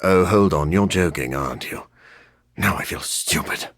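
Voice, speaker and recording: A man voicing a brooding, dryly witty living-vampire scientist in a video game, with a raspy, gravelly voice and a formal, sardonic, deadpan manner. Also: sits low, moderate pace, no room sound, studio quality. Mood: awkward, flustered. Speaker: doctor and scientist. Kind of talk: video game character voice lines.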